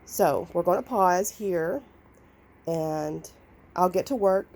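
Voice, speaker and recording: Slow raspy nasal woman. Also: lowish voice, darkish tone. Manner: unhurried, thinking aloud. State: recovering from illness, hoarse. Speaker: crafting vlogger. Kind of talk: casual vlog talk and voiceover.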